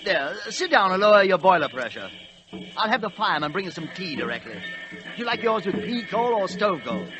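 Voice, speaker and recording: An actor playing a fussy, snobbish English express train with a pompous British accent in an old radio play. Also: male voice, high-pitched, very animated, brisk, bright, forceful tone, soft background music, heavily acted. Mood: haughty. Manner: pompous, affected upper-class drawl. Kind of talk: 1940s radio play acting. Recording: hissy 1940s radio recording.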